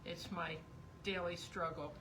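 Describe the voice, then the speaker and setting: A woman's voice: lowish voice, steady tone, measured pace, sharp tone. Middle-aged woman, sewing instructor, voice going, rambling live-demo chat, noisy recording. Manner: chatty, rambling, thinking aloud. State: losing her voice. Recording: noisy background.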